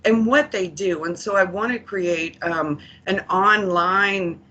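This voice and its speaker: A woman's voice: medium pitch, slow, bright, forceful tone, lo-fi sound. A woman giving an earnest committee report as a program official, reflective and emphatic, repeating phrases for stress. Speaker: corrections program official presenting.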